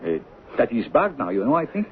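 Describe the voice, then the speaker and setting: Actor as an animated, baffled lunch-counter guy. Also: medium pitch, a little fast, close to an everyday voice. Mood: baffled, exasperated. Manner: colloquial, animated. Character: baffled, blunt, kindly lunch-counter man. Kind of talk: radio drama acting. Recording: tinny, noisy 1970s radio recording.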